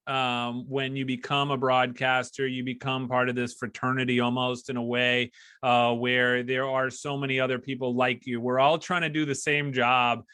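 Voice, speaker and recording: A man's voice: medium pitch, sharp tone, no room sound, studio quality. An American man hosting a sports broadcasting podcast, interviewing a guest in a conversational way and sharing his own experience.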